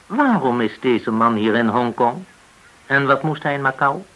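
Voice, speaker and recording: An actor in a Dutch radio play playing a menacing gangster interrogator, controlled and commanding, full of veiled threats. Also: male voice, medium pitch, lively intonation, measured pace, fairly bright tone, poor audio. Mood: probing.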